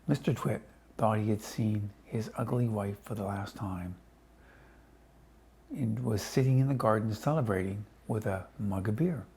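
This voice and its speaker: A man reading a children's storybook aloud casually, with chatty asides to his listeners and a slightly husky voice. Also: fairly low pitch, a little slow, dark tone, slight background noise. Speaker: storybook reader.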